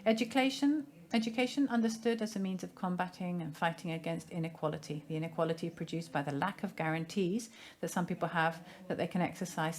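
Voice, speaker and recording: A woman giving a simultaneous interpretation of a seminar in a measured, formal way, pausing now and then to find her phrasing. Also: lowish voice. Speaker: conference interpreter.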